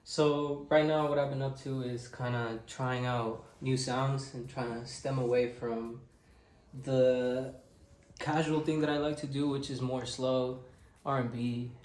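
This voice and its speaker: Laid-back young man, flat voice, roomy. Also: medium pitch, unhurried. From Mexico. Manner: laid-back, rambling with many fillers. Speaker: interviewed musician. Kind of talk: casual interview answers.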